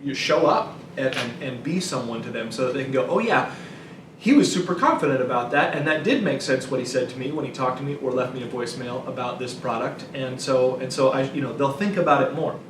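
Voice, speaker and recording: A man's voice: medium pitch, fairly quick, a bit bright. A man co-hosting a business show, giving casual sales advice in an anecdotal way and acting out quoted lines. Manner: anecdotal, acts out imagined callers and reactions. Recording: echoey room, distant mic, faint noise.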